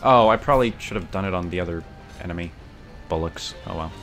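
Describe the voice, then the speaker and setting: Casting: a low-voiced young male streamer, bookish and rambling, with faint game music behind him. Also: fast talker, a bit bright. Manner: rambling, self-correcting, bookish. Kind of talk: casual gameplay commentary.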